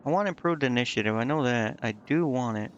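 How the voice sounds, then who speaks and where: A man's voice: mid-range voice, expressive, a little fast, dull audio, slight background noise. A man streaming a game, muttering indecisively to himself in casual commentary as he thinks aloud. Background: game music.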